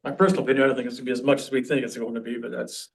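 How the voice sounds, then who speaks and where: A man's voice: medium pitch, flat delivery, racing, creaky. A man speaking informally as he leads a committee meeting in a council room. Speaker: committee member leading the meeting.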